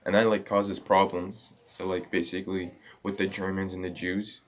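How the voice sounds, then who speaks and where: A man's voice: sits low, level tone, moderate pace. A young man of mixed Sudanese, Egyptian and Moroccan roots, a podcast guest talking casually and hesitantly. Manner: hesitant, many likes. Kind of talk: casual chat.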